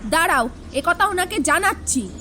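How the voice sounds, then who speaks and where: A woman playing a shrill, scolding elder sister-in-law. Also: expressive, moderate pace, bright, forceful tone, slight background noise, full character voice. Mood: quarrelsome. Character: sharp-tongued domineering elder sister-in-law. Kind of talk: Bengali audio drama acting.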